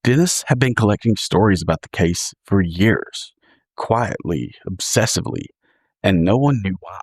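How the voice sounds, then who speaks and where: A man reading scripted true crime narration in a measured, dramatic storytelling manner, as a podcast host. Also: low-pitched, animated, husky, no room sound, clean studio sound.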